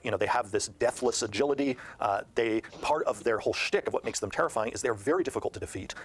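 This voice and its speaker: Male, mid-range voice. Game designer in interview mode, detailed and emphatic, slightly halting. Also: mid-range voice, slight background noise. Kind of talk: explanatory interview talk.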